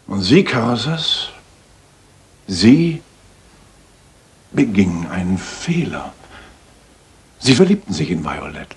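Radio play master detective: probing, self-assured, very varied pitch, husky edge. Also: male voice, very animated, full character voice. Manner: probing, deliberate, precise. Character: shrewd, self-assured Victorian master detective summing up a case.

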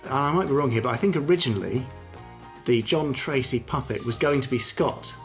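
Chatty podcast co-host, faint music. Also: male voice, medium pitch. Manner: chatty, self-mocking, quick to laugh. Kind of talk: casual chat.

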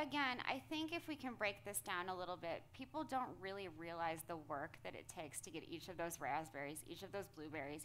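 A woman's voice: lowish voice, fairly bright tone, slight background noise. An American woman in middle age interviewing a guest on stage, conversational and affirming. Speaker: interviewer, moderator. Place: auditorium stage, indoors.

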